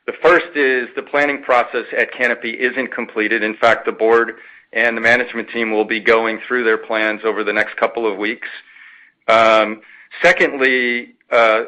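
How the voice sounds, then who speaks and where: A man speaks as a finance executive on an earnings call. His tone is bright and forceful, yet the pitch stays very flat. He answers in measured corporate phrasing, often pausing and repeating words as he builds each point. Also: rough recording. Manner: measured, hesitant with frequent pauses and repeats. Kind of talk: answering an analyst's question, semi-formal corporate talk.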